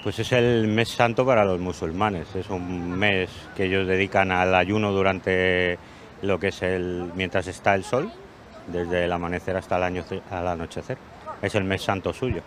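Man, 40s, deep voice, calm explanation, outdoors, handheld mic, faint street noise. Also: normal speed. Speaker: passer-by. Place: city street by hedges, outdoors. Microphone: handheld mic held by interviewer.

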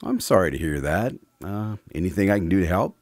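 A man's voice: low voice, lively intonation, brisk, darkish tone, dry recording, clean studio sound. A man hosting a radio drama, chatting with the main character in a friendly, conversational way. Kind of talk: scripted host chat.

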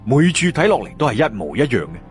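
Male Cantonese storyteller, Hong Kong accent, lively intonation, dramatic and colloquial, over clear background music. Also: medium pitch. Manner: expressive, colloquial, with drawn-out sentence particles. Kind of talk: dramatic audiobook-style fantasy story narration. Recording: music behind the voice.

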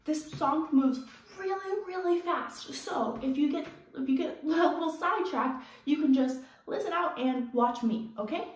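A young woman instructing a children's movement class, encouraging and animated, talking directly to the kids. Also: high voice, moderate pace, hardly any pauses. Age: young adult. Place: indoors, bare carpeted room at home. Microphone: camera a few steps away. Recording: echoey room, distant mic.

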